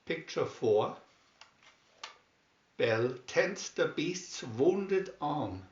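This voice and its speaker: A man reading scripted storybook captions in a deliberate, announcing manner. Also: fairly high pitch, animated. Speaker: narrator reading a picture-reel booklet. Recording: dull, roomy sound.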